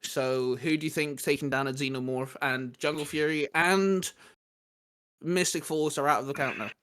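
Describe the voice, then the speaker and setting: A man's voice: fairly high pitch, fairly even intonation, moderate pace, fairly bright tone, smooth. Man hosting a casual fan chat. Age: adult. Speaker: host leading the discussion.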